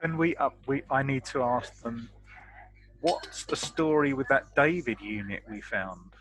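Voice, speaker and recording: A man's voice: medium pitch, unhurried, a bit bright, poor audio. A man chatting casually as a player in a tabletop roleplaying game. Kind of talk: casual in-character roleplay chat.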